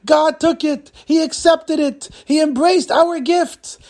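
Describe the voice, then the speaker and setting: A man's voice: very high-pitched, steady tone, takes it slowly, creaky, darkish tone, pitched above the performer's own voice, full character voice. A man voicing a humble, devout husband in a religious story, earnest and fervent. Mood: ecstatic.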